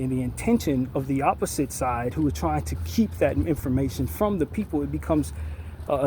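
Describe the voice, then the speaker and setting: A man giving a measured, deliberate opinion monologue as an online commentator, stressing words emphatically and often restarting his sentences. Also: on the high side, dark tone, slight background noise.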